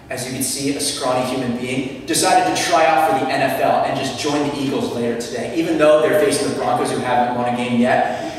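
A young man preaching a sermon in a church in an earnest, animated way, heard from across the room. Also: mid-range voice, slight background noise. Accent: American. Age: young adult. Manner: earnest, animated, gestures broadly while preaching. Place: church sanctuary. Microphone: lectern mic, camera at back of room. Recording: echoey church from far off.

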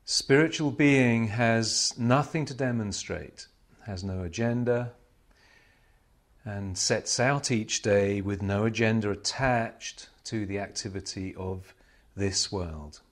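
A man speaks as a spiritual meditation teacher in a fairly low voice. His delivery is very slow and solemn. He sets out short, measured phrases, leaving pauses between them.

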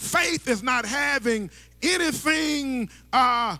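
A man's voice: very high voice, animated, slow, vocal fry, pushed and bright. A middle-aged male pastor preaching from a church stage into a handheld mic, with rhythmic sing-song phrasing and stammering restarts. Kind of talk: sermon. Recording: long church hall reverb.

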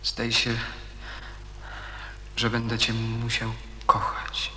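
A man's voice: mid-range voice, completely flat delivery, slow, sharp tone. An actor playing a smitten, intense young man in a radio play, earnest and sparing with words. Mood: tender. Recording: echoey reverberant space, faint noise.

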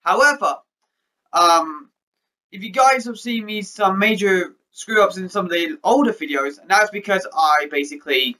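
A young man with a high voice chats to his webcam from his bedroom. He rambles, restarts and stutters now and then. His tone is bright and forceful. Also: normal speed. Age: young adult. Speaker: YouTuber. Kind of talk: casual vlog update. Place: bedroom with posters, indoors.

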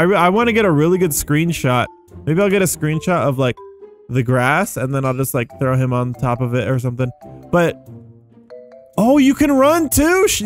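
High-pitched excitable young man. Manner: excitable, slangy, exclaiming. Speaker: gaming video host. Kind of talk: casual gameplay commentary.

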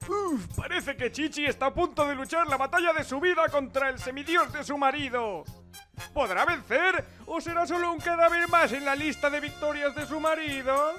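A man voicing an excitable cartoon narrator, announcing the next episode in a loud, overblown, suspenseful way. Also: very high voice, normal speed, soft background music. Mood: dramatic hype. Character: excitable high-pitched anime episode narrator.

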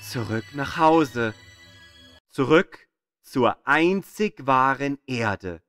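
A man narrating a scripted astronomy audio guide in a deliberate, dramatic manner, repeating phrases for emphasis. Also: mid-range voice, animated, a bit bright, no room sound, studio quality. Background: background music.

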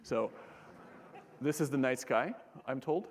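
A young man gives a relaxed, joking public science talk and often stops to restart his sentences. His voice is middle-pitched. He is on a lecture hall stage, and the sound carries a long hall reverb. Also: takes it slowly. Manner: casual and joking, with frequent fillers and restarts. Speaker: keynote speaker, astronomer.

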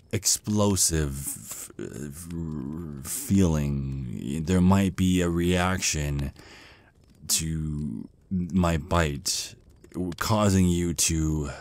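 A shy male vampire, acted by a man for an ASMR roleplay, nervous and smitten on a first date. The voice is low and slow, full of stammers and hesitation, and recorded very dry and close. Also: a little mellow, no room sound, heavily acted.

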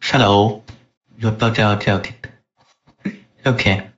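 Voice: medium pitch, steady tone, slow. A person speaking as an adult man through an AI voice changer, casually testing a microphone. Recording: dull, processed voice-changer audio.

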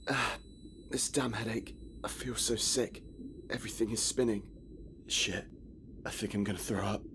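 A fairly low-pitched man voiced by a male actor, trapped and on edge in a horror video game. He mutters to himself in frustrated, sweary inner monologue. A light wash of game ambience sits behind his voice. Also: fairly even intonation, moderate pace, background noise, natural voice. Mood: sick, dazed. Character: anxious, frustrated man trapped in a dark subway.

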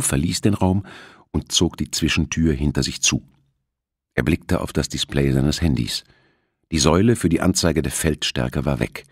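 A man narrates a novel in a low, slightly rough voice. His delivery is scripted storytelling with very animated intonation. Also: no room sound, clean studio sound. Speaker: audiobook narrator.

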